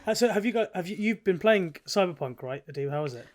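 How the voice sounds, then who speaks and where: A man's voice: high-pitched, expressive, fast. A man co-hosting a gaming podcast, chatting casually with teasing banter and plenty of swearing. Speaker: podcast host.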